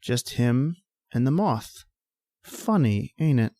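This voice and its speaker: A man's voice: medium pitch, animated, slow pace, dark tone, no echo, studio quality. An actor playing a shaken, folksy working man making a hesitant, colloquial phone report in a radio drama. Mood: uneasy, bewildered. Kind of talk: old-time radio drama acting.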